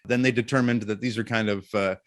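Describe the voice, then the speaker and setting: A middle-aged man chatting casually as an interview guest, telling jokey anecdotes in an animated way. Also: lowish voice, quick pace, no echo, studio quality.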